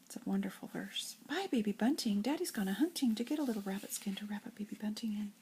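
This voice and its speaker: An older woman with a breathy, husky voice reads nursery rhymes like a grandmother, in an expressive singsong storytelling way. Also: medium pitch, fast. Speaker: grandmother reading to children.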